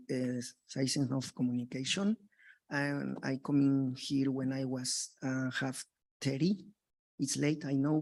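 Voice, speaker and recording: A man from Mexico, a native Spanish speaker with a Mexican Spanish accent, making an earnest, halting public comment in broken English. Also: medium pitch, creaky, a little mellow, low-quality recording. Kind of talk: public comment at a board meeting.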